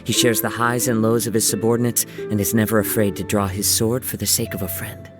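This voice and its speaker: A man voicing a gentle young wandering samurai in a video game, soft-spoken and reflective, with lyrical, poetic phrasing. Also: on the low side, flat delivery, fairly quick, close to an everyday voice. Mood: gentle, earnest. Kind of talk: video game character voice lines. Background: music.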